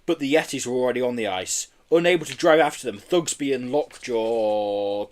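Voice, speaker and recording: A man's voice: mid-range voice, normal speed. A man reading a children's storybook expressively, occasionally stumbling over his words. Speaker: audiobook reader.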